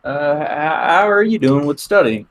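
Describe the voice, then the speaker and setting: A man's voice: fairly high pitch, expressive, a little slow. A man voicing a shy, timid teenage girl who stammers and hesitates. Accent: put-on accent.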